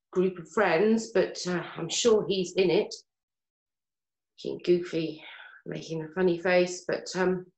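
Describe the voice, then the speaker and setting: A woman's voice: lowish voice, darkish tone. A woman acting a regretful, worried mother in her fifties in a dramatic scene, blunt and emotional. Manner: emotional, hesitant, blunt swearing. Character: worried, regretful, sweary mother of fifty-five. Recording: roomy echo.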